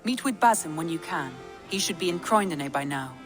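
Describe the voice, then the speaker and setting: A woman playing a composed, supportive female adviser in a Viking video game, calm, measured and formal. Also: lowish voice, music in the background, lightly acted. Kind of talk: dramatic video game acting.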